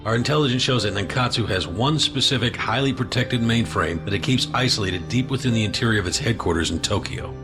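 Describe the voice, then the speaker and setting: A man playing a stern, deep-voiced spy chief. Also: music in the background, close to an everyday voice. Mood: commanding. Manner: firm, insistent, measured. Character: stern male intelligence chief, commanding. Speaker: door chief. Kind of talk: audio drama acting.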